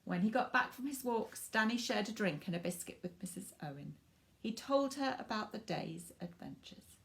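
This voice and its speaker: A woman reading a picture book aloud to children in an expressive way, stressing words for drama and speaking directly to young listeners. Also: medium pitch, creaky. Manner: animated, dramatic emphasis with drawn-out words and hushed asides.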